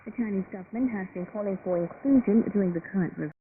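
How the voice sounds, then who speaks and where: A female newsreader presents scripted radio news at a middle pitch. The shortwave signal makes the voice thin and phone-like, with clear noise and static behind it. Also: quick pace. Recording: noisy, band-limited shortwave radio broadcast. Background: loud shortwave static and noise.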